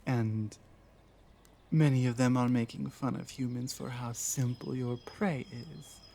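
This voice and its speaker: A woman voicing an earnest, honor-bound female orc in an ASMR roleplay, formal yet halting in manner. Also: extremely low pitch, steady tone, vocal fry, warm tone, heavily acted. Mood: earnest, musing.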